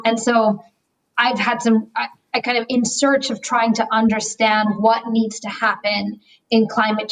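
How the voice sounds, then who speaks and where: Woman talking on a webinar call, pretty flat delivery, earnest and thoughtful, lots of ums. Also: medium pitch, rough recording. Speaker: webinar guest speaker. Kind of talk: conversational webinar answer.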